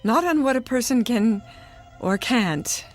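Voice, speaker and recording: A woman's voice: on the high side, expressive, a little slow, soft background music. An actress playing a vain, stubborn businesswoman wife with a theatrical, indignant manner in a radio drama.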